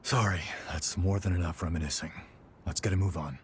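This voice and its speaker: A low, grave male voice for a battle-worn video game warrior, played by an actor. He is brooding and regretful, speaking in terse, measured lines. A faint rumbling noise sits behind him. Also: steady tone, close to an everyday voice. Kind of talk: dramatic video game acting.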